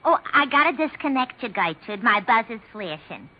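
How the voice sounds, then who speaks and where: A woman's voice: on the high side, big pitch swings, normal speed, very noisy. A woman playing a chatty, wisecracking switchboard operator in a 1950s radio comedy monologue, gossipy and slangy. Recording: dull 1950s radio recording.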